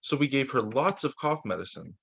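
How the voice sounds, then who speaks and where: A man's voice: mid-range voice, lively intonation, a little fast, vocal fry, fairly bright tone, husky. A man reading a children's book aloud as a teacher, occasionally stumbling, repeating phrases and adding casual asides. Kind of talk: children's story time read-aloud.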